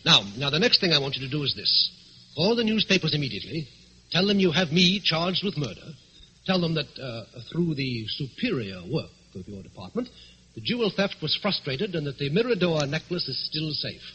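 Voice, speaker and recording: Bright-voiced, shrewd gentleman thief in radio thriller. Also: a little high, big pitch swings, normal speed. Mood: urgent, persuasive. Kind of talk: old-time radio thriller acting. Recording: muffled old radio recording, faint noise.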